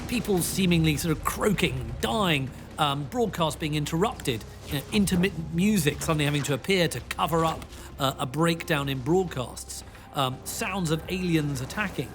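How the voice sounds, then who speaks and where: A man's voice: on the high side, expressive, moderate pace, over music. A man giving informal documentary interview commentary, talking conversationally. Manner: informal, frequent "you know".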